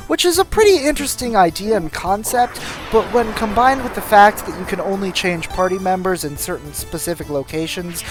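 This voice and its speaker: A man reading scripted voice-over commentary as a video game reviewer. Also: high voice.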